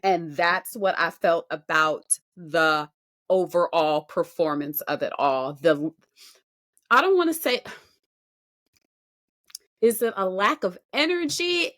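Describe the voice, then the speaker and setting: Female podcast co-host, bright and forward voice, emphatic delivery, breaking down performances off the cuff. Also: medium pitch, lively intonation, takes it slowly. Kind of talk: unscripted music show commentary.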